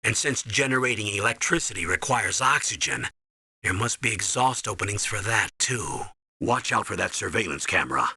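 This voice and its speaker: Stern, hard-edged military commander. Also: male voice, fairly low pitch, expressive, moderate pace, bright, forceful tone, husky, full character voice. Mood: instructive, watchful. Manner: authoritative, urgent briefing. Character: stern older male military commander guiding a soldier. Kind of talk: video game voice acting, tutorial instructions.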